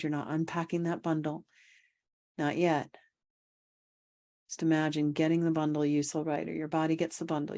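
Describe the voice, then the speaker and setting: A woman guides a meditation in a very even, almost level voice. Her tone is mellow and relaxed, sitting a little low. She gives calm, unhurried instructions, pausing often and repeating phrases. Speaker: meditation guide and energy coach.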